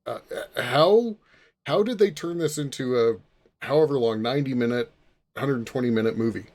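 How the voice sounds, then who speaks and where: A man's voice: mid-range voice, moderate pace, a little mellow. A man hosting a casual podcast discussion, posing questions to the other panelists. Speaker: podcast host. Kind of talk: casual chat, steering discussion.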